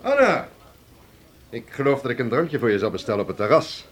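Over faint noise, a courteous, attentive gentleman speaks in a radio play, acted by a man. His mid-pitched voice swings in a lively way while staying gentle. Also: a little fast, natural voice. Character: courteous, attentive male admirer. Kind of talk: radio drama acting.

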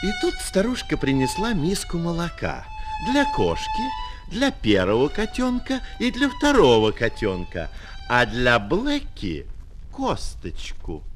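A man narrating a children's fairy tale as an expressive storyteller. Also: fairly high pitch, a little slow. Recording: music on a 1970s children's flexi-disc.

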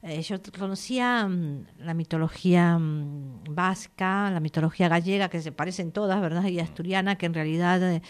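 A woman author from Argentina, talking in a relaxed interview in a thoughtful, digressive way, often pausing to search for words. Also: on the low side.

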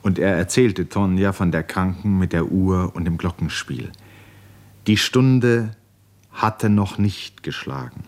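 A man's voice: low-pitched, takes it slowly, a little mellow. A man narrating a 1950s German radio drama in a scripted, literary style.

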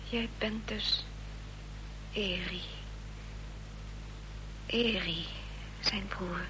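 A woman's voice: medium pitch, steady tone, extremely slow. An actress playing an earnest, anxious young woman, speaking with intensity in a Dutch radio drama. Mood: hushed, amazed. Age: young adult. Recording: noisy, humming old radio recording.

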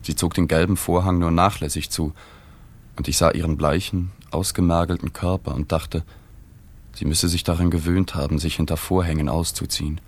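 An actor playing a sober journalist who narrates a radio drama in a matter-of-fact, observant way. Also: male voice, deep voice, steady tone, normal speed. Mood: sombre, restrained. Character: sober male journalist narrating his reporting.

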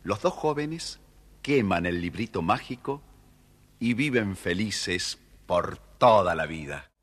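Deep, husky male storyteller. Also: takes it slowly. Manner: expressive storytelling. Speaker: storybook narrator. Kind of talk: dramatic scripted children's story narration.

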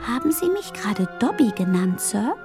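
Tiny squeaky female house elf servant, fretful and humble, voice swoops around, storybook style, bit of music behind. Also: very animated, normal speed. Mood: awed, curious. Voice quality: tiny squeaky, trembling piping voice. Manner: submissive, earnest, fretful. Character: timid, anxious female house-elf servant, small and deferential. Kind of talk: audiobook character voice.

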